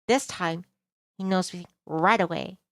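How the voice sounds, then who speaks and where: A woman's voice: on the low side, unhurried, no room sound, studio quality. A woman streamer reading a visual novel aloud, mixing narration with casual asides. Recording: music behind the voice.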